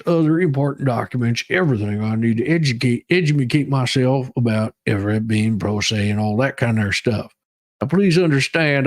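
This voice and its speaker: A man's voice: medium pitch, natural voice. Man playing a theatrical, demanding woman inmate. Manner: theatrical, halting, emphatic demands. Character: dramatic, demanding woman inmate pleading her own legal case. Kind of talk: mock-dramatic reading of a letter.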